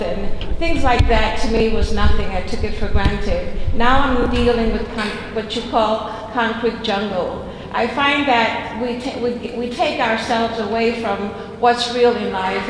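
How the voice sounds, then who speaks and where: Woman from Costa Rica at a council mic, rambling and sincere, big reverberant room with hiss. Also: medium pitch. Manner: heartfelt, rambling, with restarts. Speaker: resident speaking at public comment. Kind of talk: public comment at a council meeting. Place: large council chamber. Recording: echoey hall with noise.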